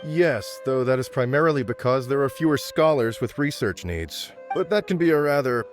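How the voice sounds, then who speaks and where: A man's voice: mid-range voice, expressive, moderate pace, over music, lightly acted. A man playing a nervous, deferential academy clerk in a video game, hesitant, polite and formal.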